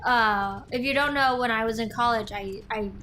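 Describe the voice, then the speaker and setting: A woman's voice: on the high side, normal speed, sharp tone, a little hiss or hum. A young woman co-hosting a gaming show, telling a long story in a casual, meandering way with many restarts. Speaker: co-host of a gaming channel. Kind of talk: casual chat over gameplay.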